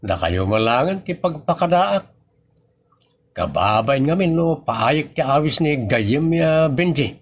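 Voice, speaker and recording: A man with an Ilocano Filipino accent acting a sleepy, sheepish, good-natured husband in a comedy audio drama, protesting in drawn-out exclamations. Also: on the high side, expressive. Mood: pleading.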